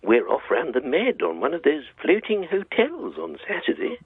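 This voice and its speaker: A gravelly, rambling octogenarian. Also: male voice, on the high side, wildly swooping pitch, normal speed, no echo, phone-quality sound, exaggerated voice. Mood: genial. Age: 80s. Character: elderly male family friend, genial and vague.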